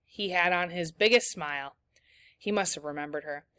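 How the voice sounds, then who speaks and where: A woman teacher reading a children's novel aloud, with clear storytelling after a few discussion questions. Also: on the low side, moderate pace, pushed and bright, no room sound, studio quality.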